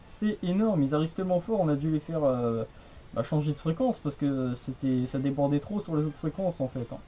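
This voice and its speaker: A man's voice: on the high side, expressive, brisk, mellow, a little hiss or hum, rough recording. A young man chatting casually over the radio in a rambling, repetitive, colloquial way. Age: young adult. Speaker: radio hobbyist, channel host. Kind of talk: casual radio contact chat.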